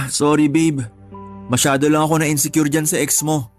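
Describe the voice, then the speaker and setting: An actress playing a jealous, insecure young lover with a Filipino accent in a radio drama, sulky and grumbling in manner. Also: female voice, sits low, flat delivery, a little slow, soft background music. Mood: insecure, apologetic.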